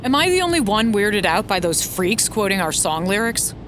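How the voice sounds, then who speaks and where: A young woman playing a sharp-tongued, sceptical rock musician in an audio drama, speaking bluntly and casually. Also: medium pitch, sing-song, normal speed, slight background noise. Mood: annoyed, sceptical. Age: young adult.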